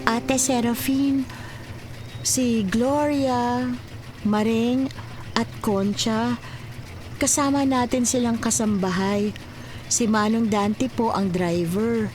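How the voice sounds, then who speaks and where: A slow, friendly housemaid. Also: female voice, a little high, fairly even intonation, a little mellow, a little hiss or hum. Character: friendly, courteous housemaid introducing the household staff. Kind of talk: Filipino radio drama acting.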